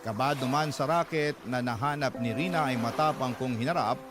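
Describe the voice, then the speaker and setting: A man's voice: mid-range voice, normal speed. Male voice reading a first-person drama evenly over background music. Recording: music bed under the voice.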